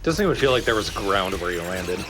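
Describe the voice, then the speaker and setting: A man's voice: deep voice, big pitch swings, fairly quick, background noise. A man giving casual, joking commentary alongside others playing a video game. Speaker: let's play co-commentator.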